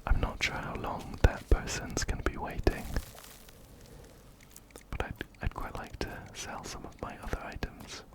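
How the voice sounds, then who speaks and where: A man whispering ASMR game commentary very close to a binaural mic, gently repeating his phrases. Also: measured pace. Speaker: ASMR host playing a video game. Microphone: close binaural microphone, ear to ear.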